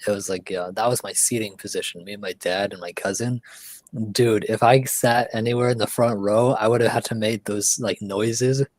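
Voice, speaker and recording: A man's voice: lowish voice, steady tone, moderate pace, dry recording, rough recording. A man hosting a livestream, chatting casually and rambling with lots of fillers, sometimes dropping to a near whisper. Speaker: livestream host. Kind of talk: casual chat.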